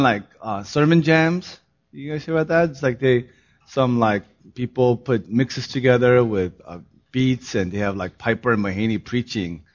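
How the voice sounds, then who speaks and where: A man who is a pastor giving a sermon, hoarse in his voice, moving from a laughing, relaxed opening into earnest, solemn preaching. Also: medium pitch, highs cut off.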